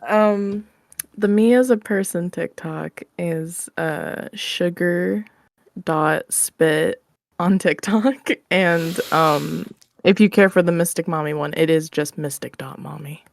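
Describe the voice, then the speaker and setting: A woman's voice: on the low side, takes it slowly, vocal fry, no echo. A woman speaking casually as a podcast guest, with a playful, giggly way of talking. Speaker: podcast guest, ASMR roleplay creator. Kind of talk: casual chat.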